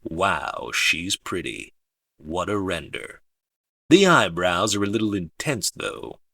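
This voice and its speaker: A male audiobook narrator with a low voice and a bright, focused edge. He reads first-person fiction slowly and with understatement, in a very dry, close recording. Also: very animated, creaky, no echo.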